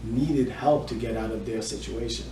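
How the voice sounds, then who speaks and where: An echoey, slightly noisy room recording of a man being interviewed. He is middle-aged and has a mellow voice. He explains things at length as a loan officer. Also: medium pitch, fairly even intonation, moderate pace. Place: indoor room with small audience. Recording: echoey room, distant mic, faint noise.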